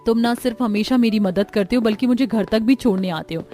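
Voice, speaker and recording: A woman's voice: fairly high pitch, fairly quick, warm tone, natural voice. A woman voicing an earnest, outspoken young female student in a cartoon story. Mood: grateful, earnest.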